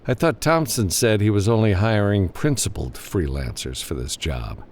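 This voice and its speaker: Give this audiobook hero, played by a male narrator, a gruff, guarded edge. He is a stubborn Mars gunslinger with terse phrasing and lively swings in pitch. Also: moderate pace, a little hiss or hum, natural voice. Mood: guarded, defiant. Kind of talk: audiobook character dialogue.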